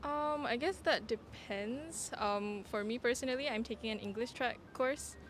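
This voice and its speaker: A woman's voice: fairly high pitch, normal speed, a little hiss or hum. A young woman from Malaysia, a student giving casual answers in an outdoor interview. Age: young adult. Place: outdoors on a campus. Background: music.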